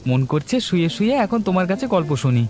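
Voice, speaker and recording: A man's voice: high voice, big pitch swings, fast talker, darkish tone. A man voicing a poor, humble young milk boy in a cartoon story, earnest and pleading in manner. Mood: playful. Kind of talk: Bengali cartoon story voice acting.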